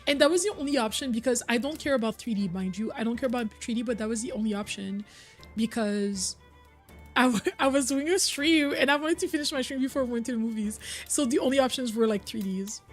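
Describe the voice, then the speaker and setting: A woman's voice: a little high, quick pace, soft background music. A young woman whose first language is French, chatting casually with her viewers on a live stream, full of "like" and repeated phrases. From Quebec, originally Cameroon.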